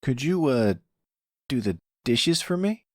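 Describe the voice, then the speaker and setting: A man's voice: medium pitch, very animated, takes it slowly, no echo, clean studio sound, voice pushed up. A man playing a young son in a roleplay audio drama, casual, teasing and blunt, protective of his family. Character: cheeky, protective young son, an aspiring chef.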